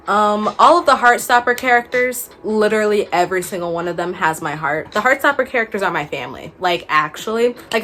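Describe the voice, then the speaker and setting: A woman's voice: mid-range voice, sharp tone. A young adult woman chatting casually as a book vlogger, gushing and slangy, repeating words for emphasis. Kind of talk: casual chat to camera. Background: background music.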